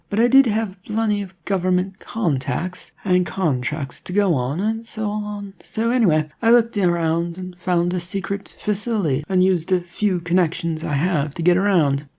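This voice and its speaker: A narrator voicing a brisk, cocky, evasive woman rescuer in an adventure audiobook, with a breezy, dismissive manner. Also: medium pitch, vocal fry, mellow, pitched above the performer's own voice. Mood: breezy, impatient. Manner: breezy, dismissive, self-assured.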